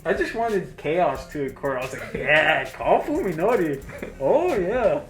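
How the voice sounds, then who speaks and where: A man's voice: a little high, sing-song, a little slow. A man co-hosting a casual review discussion in a blunt, slangy way, swearing freely. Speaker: review show co-host. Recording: roomy echo with music underneath.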